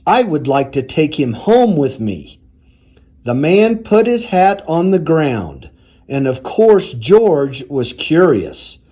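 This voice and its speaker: A man reading a children's storybook aloud in a deliberate, expressive storytelling manner. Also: fairly high pitch. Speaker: university athletics director reading aloud.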